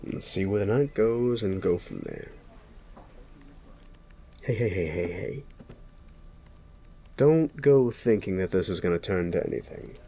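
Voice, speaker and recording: A man playing a sleazy, bullying werewolf boss in an audio roleplay, sardonic and taunting, with a gruff flirtatious streak. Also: low voice, lively intonation, measured pace, pauses often, mellow, heavily acted. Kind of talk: scripted audio roleplay addressed to the listener.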